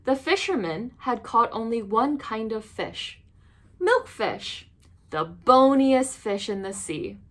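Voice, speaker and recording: A woman reading a children's storybook aloud as an expressive narrator. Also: fairly high pitch, slow pace. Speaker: storybook reader.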